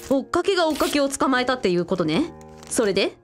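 A woman's voice: high-pitched, sing-song, fast talker, soft background music. A woman playing a bossy, curious big sister in a radio drama, blunt and teasing. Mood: nosy, brisk.

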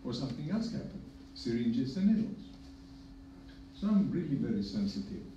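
A middle-aged man from Greece with a Greek accent, speaking on a conference panel as a hepatologist, measured and emphatic with dry humor. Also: medium pitch, very animated, warm tone, far from the mic. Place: conference room with projector. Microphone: podium mic, filmed from far in the room. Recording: echoey room from afar, dull and noisy.

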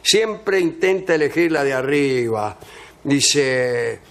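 A man's voice: mid-range voice, expressive, takes it slowly, crowd noise. A man hosting a comedy radio show, reading out a travel guide with dry sarcastic asides.

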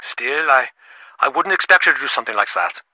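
A man's voice: mid-range voice, expressive, a little fast, pushed and bright, no room sound, highs cut off. A man voicing a smug, impatient rich businessman in a video game, glib and self-important, with stammering, sarcastic delivery. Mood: glib, defensive. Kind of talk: acted game dialogue.

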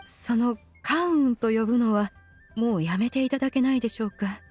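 A woman's voice: a little high, moderate pace, a little mellow, faint music behind, close to an everyday voice. A woman voicing an ancient, aloof female immortal in an anime game, with a formal, stately, archaic way of speaking. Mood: reluctant. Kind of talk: Japanese anime game voice acting.

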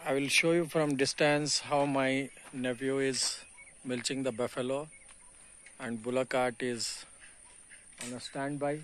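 A man's voice: mid-range voice, steady tone, measured pace, a bit bright, slight background noise. A middle-aged man with an Indian English accent, giving an earnest, explanatory selfie commentary while walking outdoors around a farm. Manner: earnest, explanatory, preachy asides. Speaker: farm vlogger. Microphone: phone held at arm's length.

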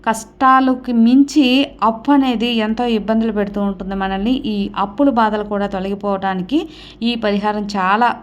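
Woman explaining stuff step by step for her devotional channel, mid pitch, repeats herself to instruct. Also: brisk. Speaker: host of a devotional remedy channel. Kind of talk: explanatory talk to viewers, instructional.

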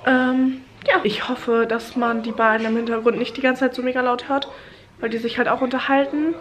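Congested young woman chatting to camera. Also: on the high side. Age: young adult. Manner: chatty, relaxed, talking straight to camera. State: stuffy, congested from a cold. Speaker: vlogger. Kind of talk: casual travel vlog. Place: indoor hotel room. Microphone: handheld phone camera at arm's length.

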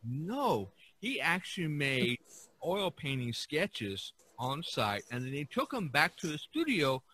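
A man speaking as a podcast co-host in a casual art history discussion, haltingly searching for words. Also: a little high, very animated, sharp tone, husky, dry recording, rough recording.

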